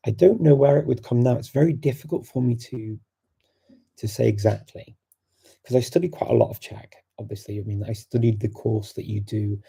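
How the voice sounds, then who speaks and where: A middle-aged man hosting a live-stream Q&A, answering viewer questions in a thoughtful, unhurried way full of fillers and restarts. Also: fairly low pitch, creaky, mellow, breathy edge. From England.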